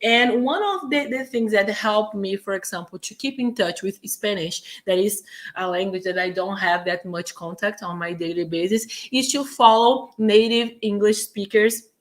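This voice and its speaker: A woman's voice: mid-range voice, normal speed. Chatty Brazilian woman teaching English. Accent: Brazilian Portuguese. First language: Portuguese. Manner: chatty, many fillers and restarts. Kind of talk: casual online lesson. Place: home in Quebec.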